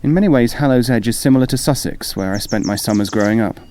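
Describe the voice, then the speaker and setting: A man's voice: mid-range voice, quick pace, a little mellow, slight background noise, close to an everyday voice. An actor playing a world-weary, skeptical male psychologist in an audio drama, with a dry, dismissive manner. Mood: dry, casual.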